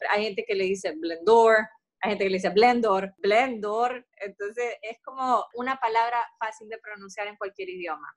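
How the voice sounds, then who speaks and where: A woman's voice: mid-range voice, normal speed. A Honduran woman who owns a tea business, answering interview questions in an earnest, step-by-step way and giving advice. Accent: Honduran Spanish.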